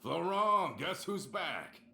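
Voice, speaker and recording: An actor playing a good-natured, talkative gang member fresh out of prison in a video game. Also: male voice, on the high side, big pitch swings, slow pace, gravelly, pushed and bright, heavily acted. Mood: cheerful, relieved. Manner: hearty, easygoing, talkative. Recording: roomy echo, music underneath.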